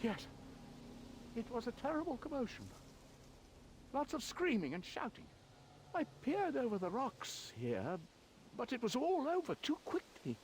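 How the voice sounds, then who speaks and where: An actor voicing an odd, furtive, nervous hermit in a video game, muttering hesitantly and evasively. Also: male voice, extremely high pitch, huge pitch swings, unhurried, pauses often, background noise, exaggerated voice. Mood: uneasy, confiding. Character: odd, furtive, nervous male hermit who hoards bones. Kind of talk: video game character dialogue. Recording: game dialogue over prominent music.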